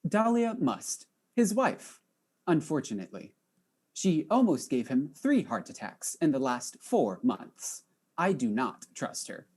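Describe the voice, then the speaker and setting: A woman's voice: low voice, very animated, a little slow, darkish tone, husky, full character voice. A woman playing a ship's artificial intelligence in a mystery drama, formal and precise, newly troubled by emotion. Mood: distrustful. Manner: formal, precise, stilted phrasing. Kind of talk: acted mystery audio drama.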